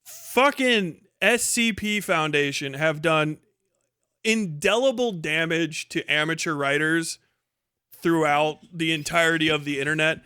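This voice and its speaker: A man's voice: on the high side, measured pace, bright, forceful tone, smooth, no room sound, studio quality. A man hosting a livestream, giving rambling, emphatic and sweary opinionated commentary in casual speech. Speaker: livestream host.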